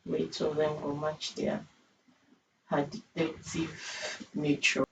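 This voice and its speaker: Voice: mid-range voice, completely flat delivery, slow, gravelly, noisy background. A person with a Nigerian accent chatting casually in a voice note, informal and slipping into Pidgin. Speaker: storytelling channel creator.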